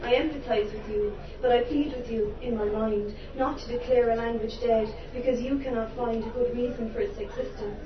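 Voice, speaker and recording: A woman's voice: fairly high pitch, fairly even intonation, moderate pace, few pauses, crowd noise. Irish woman performing spoken word poetry live. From Ireland. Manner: impassioned, theatrical delivery with wry humorous asides. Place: live venue before an audience. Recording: roomy, distant, dull live recording with background noise.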